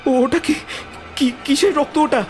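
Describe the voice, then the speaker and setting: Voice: high voice, unhurried, a little mellow, faint music behind. An actor playing a terrified young friend trapped in a haunted house in an audio horror story, anxious and hesitant. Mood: alarmed.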